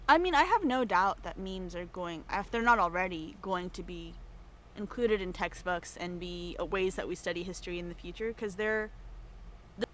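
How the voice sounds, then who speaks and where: A woman chatting casually on a livestream, expressive and given to playful exclamations. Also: on the low side, moderate pace. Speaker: livestream guest on an art work stream. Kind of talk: casual banter. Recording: noticeable background noise.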